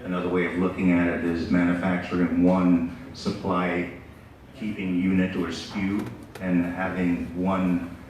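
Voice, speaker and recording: A middle-aged American man giving a conference presentation in a relaxed, conversational way, with frequent fillers. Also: deep voice, a little slow. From United States. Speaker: business development speaker. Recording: echoey hall, distant mic, background noise.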